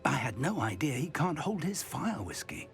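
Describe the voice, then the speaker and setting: An actor playing a thoughtful, wry wizard mentor in a video game, articulate and dryly expressive. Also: male voice, on the high side, normal speed, soft background music. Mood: wry, resolved. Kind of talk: scripted video game acting.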